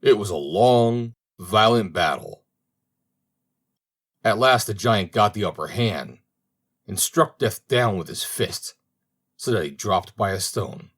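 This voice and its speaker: Male storybook narrator, somewhat low register, scripted fairy-tale reading. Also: animated, slow pace, long pauses, fairly bright tone. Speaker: audiobook narrator.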